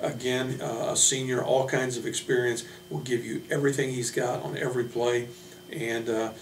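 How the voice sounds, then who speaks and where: A man talks in a flat, even tone, like a college coach running through his roster without a script. His delivery is halting and plain-spoken. The recording is roomy and distant, with a noticeable hum. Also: mid-range voice. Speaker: college basketball head coach. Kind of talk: unscripted team roster introduction.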